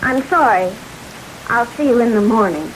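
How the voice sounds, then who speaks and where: Actress as shocked young American woman, withdrawn, halting, old radio melodrama, highish voice, noticeable hiss. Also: animated, close to an everyday voice. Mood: shocked, grieving. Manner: halting, fragile delivery.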